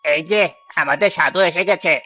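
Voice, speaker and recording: A man's voice: fairly high pitch, normal speed, pushed and bright. A man voicing a mocking, sarcastic village troublemaker in a cartoon. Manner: taunting, sarcastic. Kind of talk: Bengali cartoon villain dialogue. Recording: music behind the voice.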